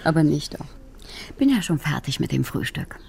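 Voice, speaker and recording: An actress playing a cool, knowing, sardonic investigator in a naturalistic German radio crime drama. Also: female voice, low-pitched, big pitch swings, normal speed, creaky, noisy background, heavily acted. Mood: dry.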